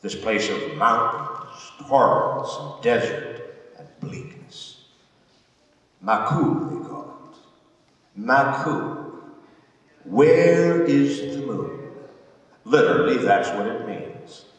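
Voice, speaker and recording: A man playing a gruff, dismissive prison warden in a theatrical monologue, with emphatic delivery, long pauses and sudden swells. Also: mid-range voice, expressive, pitched above the performer's own voice. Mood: bitter, brooding. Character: gruff, dismissive prison warden governing a remote mountain fortress. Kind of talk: theatrical dramatic monologue read on stage. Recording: very echoey hall, far from the mic.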